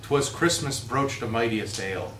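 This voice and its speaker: Lively man reciting verse from far off. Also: mid-range voice, normal speed. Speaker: reader at a holiday readers theater. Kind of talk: expressive poetry recitation. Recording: echoey room, distant mic, faint noise.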